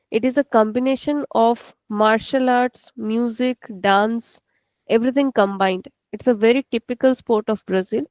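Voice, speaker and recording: A woman's voice: a little high, a little mellow, no room sound. A woman with an Indian English accent teaching an online language class, explaining patiently and repeating each point step by step.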